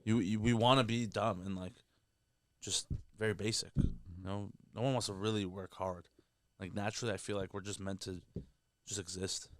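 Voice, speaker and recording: A man's voice: lowish voice, fairly even intonation, no echo. A man from Canada, a guest comedian, chatting candidly on a podcast and swearing freely as he talks. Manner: rapid, candid, profane, heavy filler words. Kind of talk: casual podcast chat.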